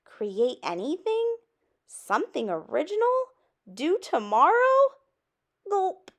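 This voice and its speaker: A woman's voice: very high voice, huge pitch swings, slow, pitched above the performer's own voice, heavily acted. A woman voicing an eager, anxious young cookie schoolchild in a storybook, with emphatic exclamations.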